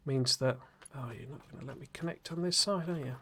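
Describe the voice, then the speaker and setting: A man streaming a game live, chatting in a rambling way with lots of fillers and restarts, his voice a little gruff after a cold. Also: medium pitch, a little fast, fairly bright tone, a little hiss or hum. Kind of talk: casual live-stream chat while playing.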